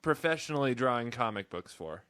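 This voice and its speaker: A man's voice: medium pitch, brisk, no echo, studio quality. A man in his thirties hosting a podcast, talking loosely with lots of "you know" and "um". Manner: relaxed, with many fillers like "you know". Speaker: podcast host. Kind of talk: casual podcast intro and interview.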